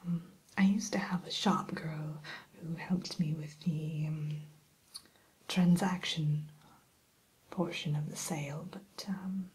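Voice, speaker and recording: A woman playing a polite, primly formal evil queen shopkeeper in a soft, unhurried ASMR whisper. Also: deep voice, steady tone. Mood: politely chatty. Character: genteel evil queen running an enchanted mirror shop. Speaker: shopkeeper character in ASMR roleplay.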